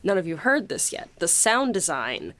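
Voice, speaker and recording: A woman hosting a casual behind-the-scenes chat, gushing in a halting, conversational way. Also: on the low side, big pitch swings, a bit bright. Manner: gushing, halting, peppered with 'like'. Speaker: podcast host and series writer.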